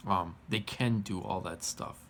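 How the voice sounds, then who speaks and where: Slow-talking American man in his forties. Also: low voice, fairly bright tone. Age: 40s. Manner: repetitive, emphatic, colloquial. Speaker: vlogger. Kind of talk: informal monologue to viewers.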